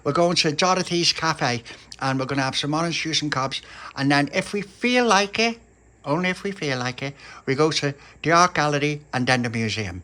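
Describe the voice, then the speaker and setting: A man with a Liverpool accent voicing an eager little boy who stammers and gushes with excitement. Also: fairly high pitch, normal speed, pitched above the performer's own voice. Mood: excited.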